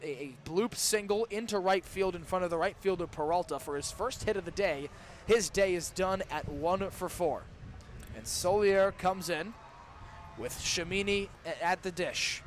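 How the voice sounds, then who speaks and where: A young man doing live play-by-play baseball commentary for radio, describing each pitch in detail and surging with excitement on big plays. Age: young adult. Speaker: student baseball play-by-play announcer. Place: outdoor baseball stadium broadcast. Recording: noisy outdoor ballpark ambience.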